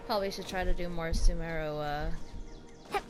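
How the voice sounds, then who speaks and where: A woman's voice: on the low side, steady tone, unhurried. Casual woman game streamer over music. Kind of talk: casual game reaction chat.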